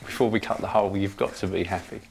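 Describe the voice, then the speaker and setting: A middle-aged father helping with a build, speaking calmly and with encouragement. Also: sits low, fast talker, vocal fry, slight background noise. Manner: calm, encouraging. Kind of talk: casual conversation. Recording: music bed behind.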